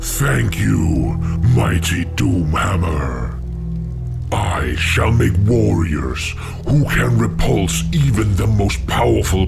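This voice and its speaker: A man playing a scheming, servile orc warlock in a fantasy game, with a gravelly voice and deliberate, obsequious delivery over music. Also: low-pitched, exaggerated voice. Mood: servile, solemn. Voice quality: gravelly, creaking. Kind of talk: dramatic fantasy game acting. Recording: loud music under the voice.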